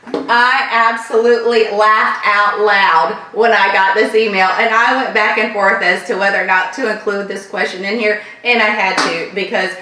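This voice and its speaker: A woman from Florida with a light Southern accent, hosting a casual viewer Q&A vlog and rambling warmly through her answers. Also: mid-range voice, fairly even intonation, normal speed, distant mic. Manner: chatty, repeats thanks, loose rambling sentences. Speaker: channel host answering viewer questions. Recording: echoey room, voice a bit off-mic.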